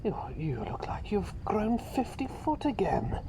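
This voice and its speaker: A man voicing a mild, flustered doctor turned into a small talking bird for an ASMR roleplay, softly, often whispering, hesitating and repeating himself. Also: high-pitched, wildly swooping pitch, unhurried, full character voice. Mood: bemused. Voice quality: soft, breathy, often dropping to a whisper. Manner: hesitant, rambling, repeats phrases. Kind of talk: ASMR roleplay, personal attention. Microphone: close ASMR microphone. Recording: close microphone with clear background noise.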